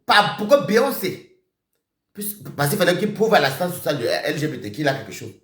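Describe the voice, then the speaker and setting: A man with a slightly high voice delivers an accusatory rant on a live stream. His pitch swings widely as he repeats his points. He shifts between shouting and muttering, and the room gives off a clear echo. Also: sing-song, normal speed.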